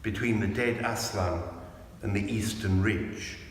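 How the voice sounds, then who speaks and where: A man giving an expressive reading of a storybook as narrator. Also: on the low side, a little slow. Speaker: clergyman reading a storybook aloud. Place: inside a church. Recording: very echoey church, long reverb, faint noise.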